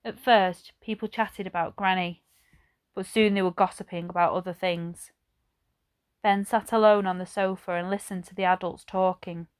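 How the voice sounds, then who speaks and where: Clear-voiced woman reading a storybook. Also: mid-range voice, steady tone, moderate pace. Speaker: teacher reading aloud. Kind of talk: children's storybook narration.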